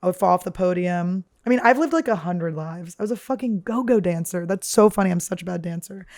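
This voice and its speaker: A woman with a deep, damaged-sounding voice hosts a podcast, telling crude, self-mocking stories nonstop in a studio. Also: brisk, darkish tone. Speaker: podcast host. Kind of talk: rambling comedic storytelling.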